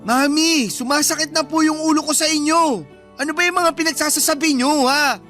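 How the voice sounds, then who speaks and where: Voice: sits high, steady tone, brisk, pushed and bright, soft background music. A performer playing a defiant, irritated college-age son in a Filipino radio drama, whiny and indignant.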